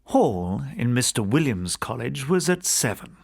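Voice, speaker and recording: A man reads a classic ghost story as an audiobook narrator. His pitch moves in a lively way across a middle register, while the delivery stays measured and literary, like formal storytelling. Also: gravelly.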